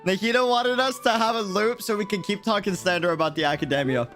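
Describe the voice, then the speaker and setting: A man's voice: sits high, fast talker, over music. A man talking casually and jokily in slang as he livestreams a video game. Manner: slangy, informal, jokey. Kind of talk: casual livestream chat and reactions.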